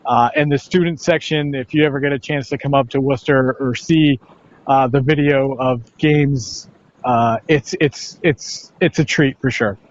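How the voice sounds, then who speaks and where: A man who works as a play-by-play announcer answers questions in a conversational sports interview, with halting phrasing and repeated words. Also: medium pitch, steady tone. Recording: dull, lo-fi, band-limited sound.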